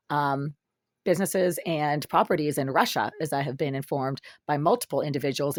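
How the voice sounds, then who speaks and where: Low raspy woman, intense and accusing. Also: no echo, clean studio sound. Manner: intense, accusing, long run-on sentences. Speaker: vlogger. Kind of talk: solo monologue to camera. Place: her apartment, sitting in the dark.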